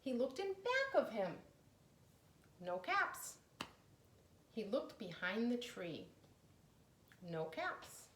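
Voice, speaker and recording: A woman reading a children's story aloud with deliberate, dramatized phrasing for young listeners. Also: a little high, big pitch swings, fairly bright tone. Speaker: storybook reader. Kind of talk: expressive children's read-aloud narration. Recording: echoey room, faint noise.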